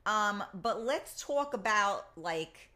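Woman podcast host: bright, chatty, complimentary, rambling. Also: mid-range voice, lively intonation, slow pace. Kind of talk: casual interview chat.

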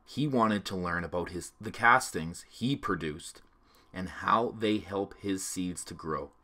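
A man narrating a children's storybook read-along with clear, expressive delivery. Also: sits low, a little slow.

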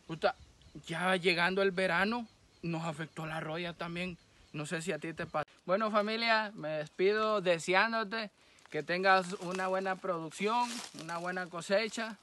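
A Honduran man speaks to the camera in a high, forceful voice. His delivery is informal and full of colloquial grumbling and swearing, like a farmer addressing his peers out in the open. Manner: complaining, colloquial with frequent swearing. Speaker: coffee farmer and vlogger. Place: outdoors on a coffee farm.

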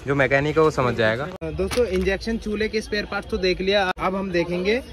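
A man's voice: high voice, fast. A young man filming a casual shop-tour vlog on his phone, asking a seller for prices and repeating each answer back to the camera. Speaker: vlogger touring an electronics parts shop. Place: busy street-side electronics shop. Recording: outdoor phone recording with crowd noise. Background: street crowd and traffic.

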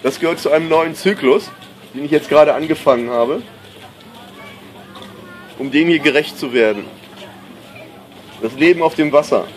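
Middle-aged man, slightly high voice, relaxed enthusiastic vlogger, outdoor camera mic with faint background noise. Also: poor audio. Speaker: pop artist vlogging. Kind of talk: casual vlog to camera. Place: outdoors at a sunny marina. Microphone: handheld camera mic.

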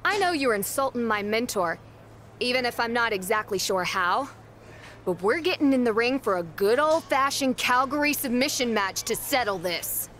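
A woman's voice: on the high side, animated, moderate pace, fairly bright tone, slight background noise. An actress playing a proud young female wrestler in a video game cutscene, speaking in a blunt, impatient way. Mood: prickly.

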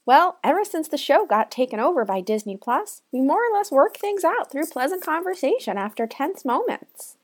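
A woman's voice: high-pitched, sing-song, moderate pace, hardly any pauses. A woman playing a folksy, chirpy small-town police officer. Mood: perky, firm.

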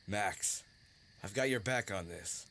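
A man's voice: fairly low pitch, takes it slowly, gravelly, pushed and bright, heavily acted. Man acting a loyal, easygoing young adventurer. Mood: supportive. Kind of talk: audio drama acting.

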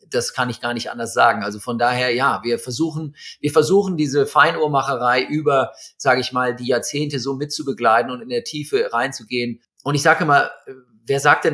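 A man in his fifties, a watch magazine editor and guest, telling stories in a relaxed interview with many fillers. He speaks over a video call from a room at home. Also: medium pitch. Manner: hesitant, many fillers, storytelling. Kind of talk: conversational interview. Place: home room with bookshelves and string lights.

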